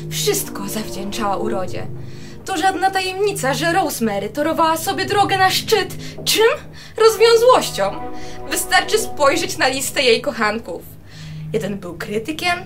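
A woman playing a vain, gossipy, spiteful young violinist in a radio drama, with a theatrical, haughty manner. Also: high-pitched. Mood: indignant, catty.

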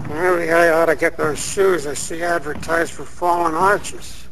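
Weary, high-voiced middle-aged night clerk. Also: male voice, a little slow, low-quality recording. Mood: absent, mild. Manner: flat, deferential, detached. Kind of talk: 1990s radio drama acting. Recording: faint background noise.

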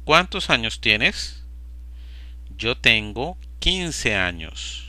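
Slow, bright-voiced man drilling phrases. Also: mid-range voice, sing-song, no room sound. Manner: slow, deliberate, evenly paced. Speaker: Spanish teacher. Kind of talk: slow repetition drill for learners.

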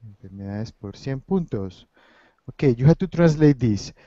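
A man's voice: medium pitch, animated, unhurried, dark tone, no room sound. A man teaching a Spanish class over a video call, running a quiz game and mixing Spanish with English as he encourages his students. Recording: dull lo-fi video call audio.

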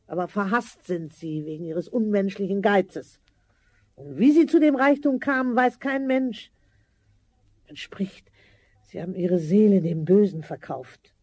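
A woman playing a worried, homely older mother in a 1970s fairy-tale audio drama, speaking in a halting, motherly way. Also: medium pitch, lively intonation, darkish tone, husky, no echo. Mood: disapproving.

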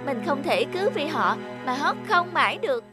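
A woman's voice: high-pitched, sing-song, normal speed. A woman voicing an earnest young female songbird in a children's cartoon, musing aloud expressively. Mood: discontented, fretful. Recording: loud children's music behind.

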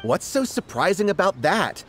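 A man's voice: high voice, big pitch swings, moderate pace, fairly bright tone, faint music behind, full character voice. A man playing an eager, gullible fiction writer in a video game, with a breezy manner. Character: eager, gullible male light-novel writer.

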